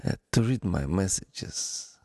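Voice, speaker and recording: A man with a Ukrainian accent hosting a solo podcast, speaking in a deliberate, halting way with pauses. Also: low-pitched, expressive, warm tone, dry recording, clean studio sound. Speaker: podcast host.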